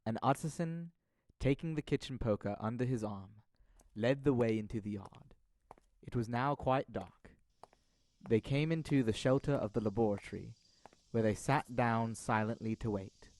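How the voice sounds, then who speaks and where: A young man playing the solemn narrator of a Victorian mystery audio drama, reading scripted prose in a measured, literary way. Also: medium pitch, a little mellow, close to an everyday voice. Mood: solemn, suspenseful. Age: young adult.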